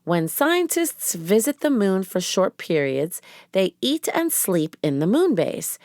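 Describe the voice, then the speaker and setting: A careful, bookish young boy from a storybook, read by a woman. He sounds sensible and measured, speaking slowly with expressive turns. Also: medium pitch, no echo, studio quality. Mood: studious.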